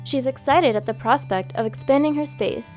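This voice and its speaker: Female narrator, fairly high voice, measured scripted read, faint music under it. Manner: even, measured delivery.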